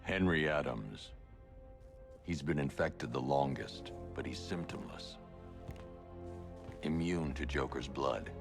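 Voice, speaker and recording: An actor playing a grim, brooding male vigilante who talks in terse, gravelly lines in a video game cutscene. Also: rumbling low, expressive, takes it slowly, pauses often, music in the background, exaggerated voice. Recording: game score behind.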